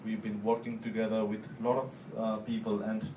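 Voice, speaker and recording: A man from Nepal with a Nepali accent, speaking personally to an audience in halting, deliberate phrases. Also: on the low side, completely flat delivery. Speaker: guest speaker, volunteer. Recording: echoey room, distant mic, clear noise.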